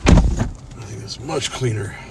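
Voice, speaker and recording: Casual man talking over loud car noise. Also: medium pitch, takes it slowly, creaky. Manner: informal, slangy. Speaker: police-scanner watchdog vlogger. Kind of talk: casual chat while driving. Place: inside a car. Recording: loud vehicle and road noise. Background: car and street noise.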